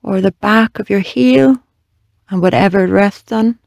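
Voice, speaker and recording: Creaky-voiced meditation guide, close and dry. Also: mid-range voice, no room sound. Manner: slow, measured, gently instructing with long pauses. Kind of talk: guided body scan meditation.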